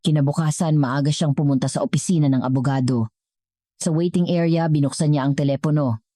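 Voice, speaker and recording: Low, mellow woman narrating a drama. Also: fairly even intonation, brisk, no echo, clean studio sound. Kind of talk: scripted dramatic story narration.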